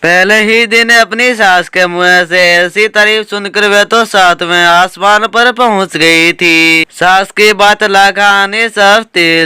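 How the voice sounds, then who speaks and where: A man reads a scripted cartoon story as narrator. His voice is high and extremely clear, and the recording is very dry. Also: bright, forceful tone, no room sound.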